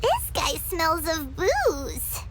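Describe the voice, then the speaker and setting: A woman voicing a tiny, childlike, excitable fairy companion in a video game, squeaky and emphatic. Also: extremely slow, background noise, full character voice. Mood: annoyed. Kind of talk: English game voice acting. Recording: loud music behind.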